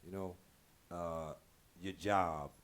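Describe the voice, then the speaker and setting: A man's voice: sits low, steady tone, slow, fairly bright tone. A man speaking as a guest on a talk show, in casual, earnest, informal talk. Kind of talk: casual chat. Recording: clearly noisy live-stream room sound.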